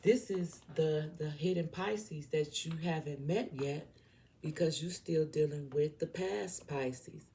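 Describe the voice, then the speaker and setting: A woman with a Southern US accent leads an informal tarot reading in a low voice. She takes her time, repeating points with blunt emphasis and addressing the viewer directly. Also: slow pace, dark tone, slight background noise. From southern United States. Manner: emphatic, repetitive, blunt, checks in with "okay?". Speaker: tarot reader.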